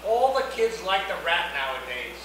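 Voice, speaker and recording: A man's voice: sits high, sing-song, normal speed. An actor playing an oblivious, cantankerous grandfather in his eighties at a comedy script reading, blunt and needling. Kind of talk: cold table read of a comedy screenplay. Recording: echoey noisy hall, distant mic.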